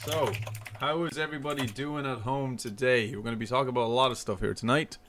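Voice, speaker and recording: A man's voice: mid-range voice, steady tone, quick pace, noisy background. An upbeat man hosts a casual live stream with an everyday mid-range voice. He talks loosely and reads out the names of viewers dropping into the chat. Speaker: podcast host.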